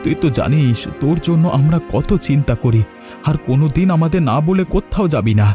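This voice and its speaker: A man playing a caring, anxious village father in a moral-story cartoon, speaking gently and earnestly. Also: on the high side, animated, fairly quick, music in the background, close to an everyday voice. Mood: concerned. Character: caring, worried poor village father and husband.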